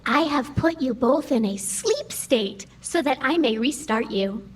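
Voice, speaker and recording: A timid female computer in an audio drama. The voice is high and moves through a lively range, with formal, exact, literal wording that sounds easily wounded. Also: measured pace. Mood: earnest, formal. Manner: formal, precise, literal phrasing with few contractions. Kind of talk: scripted audio drama acting.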